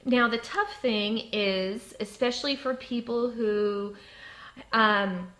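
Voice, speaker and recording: A woman who stutters, with blocks and repeated sounds, giving an explanatory advice talk in a composed, frank and deliberate way. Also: fairly high pitch, fairly bright tone, rough recording. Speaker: presenter giving workplace advice. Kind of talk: explanatory talk with personal examples.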